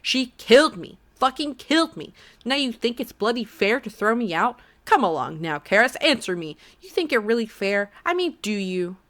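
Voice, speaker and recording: A woman's voice: a little high, sing-song, normal speed, fairly bright tone, pitched above the performer's own voice. A woman voicing a crude, whiny dead man. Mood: whiny indignant. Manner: whiny, pathetic, swearing.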